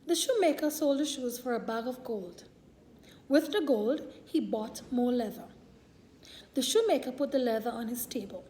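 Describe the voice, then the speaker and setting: Woman, high voice, mellow tone, unhurried children's read-aloud, expressive storyteller. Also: slight background noise. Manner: deliberate, expressive delivery for young children. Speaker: storyteller reading a picture book aloud.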